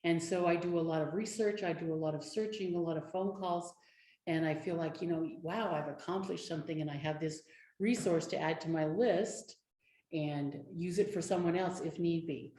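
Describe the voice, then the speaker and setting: A woman speaking as an advocate on a community panel, telling her story in an earnest, steady way. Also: fairly low pitch, normal speed. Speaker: domestic violence advocate. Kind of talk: panel discussion. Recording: echoey room with faint noise.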